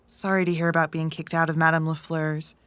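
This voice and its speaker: A sardonic female runner from a cyberpunk audio drama. Her voice sits slightly low and is closely, dryly recorded, with a frank, skeptical delivery. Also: flat delivery, fairly quick, fairly bright tone, no room sound. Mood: matter-of-fact. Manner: dry, frank.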